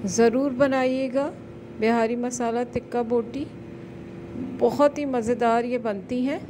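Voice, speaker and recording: A woman hosting a cooking channel, calmly talking through a recipe step by step in a voice-over. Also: fairly high pitch, a little slow, background noise. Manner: calm step-by-step instructions.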